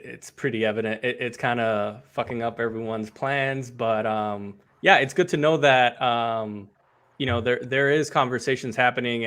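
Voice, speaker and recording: A man's voice: medium pitch, a bit bright. Laid-back man hosting a chat. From Puerto Rico. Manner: friendly, laid-back, uses "dude" and "man". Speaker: podcast host. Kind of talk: casual chat.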